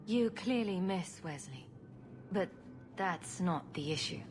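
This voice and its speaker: A woman's voice: on the low side, lively intonation, slow, a little hiss or hum. A woman voicing a wry rogue adventurer in a video game, with a dry, teasing, sarcastic manner. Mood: curious, wry.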